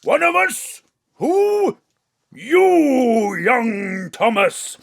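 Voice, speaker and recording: An actor playing a wild, accusing young boy in an audio drama, shrill and screaming. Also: sing-song, drawn-out words, vocal fry, full character voice.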